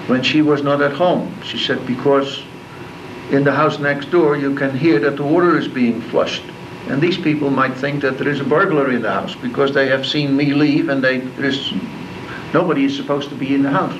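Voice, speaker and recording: A man in his seventies from the Netherlands with a Dutch accent, speaking to a school class about his memories in a plain, measured storytelling manner. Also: medium pitch, fairly even intonation. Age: 70s. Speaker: guest speaker. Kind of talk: recounting wartime memories to students. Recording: distant, dull lo-fi tape with clear noise.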